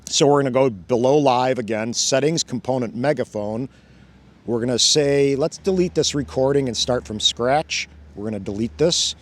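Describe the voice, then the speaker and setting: A man's voice: mid-range voice, normal speed. A man hosting a casual hands-on demo outdoors by a fountain, thinking aloud and laughing often as he goes. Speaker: drone channel host. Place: outdoors by a fountain, cold weather.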